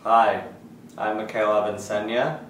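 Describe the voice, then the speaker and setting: A young man from the Philippines, a student, answering interview questions in a measured, formal way. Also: on the low side, steady tone. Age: young adult. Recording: echoey room, distant mic, clear hiss.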